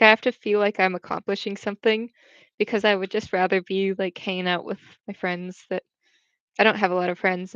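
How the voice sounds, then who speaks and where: A woman's voice: mid-range voice, clear, resonant tone, no room sound. Young woman chatting on a livestream. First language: English. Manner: rambling, chatty, switches between English and French. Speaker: livestream host. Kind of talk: casual livestream chat reading comments. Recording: dull, dry home stream audio.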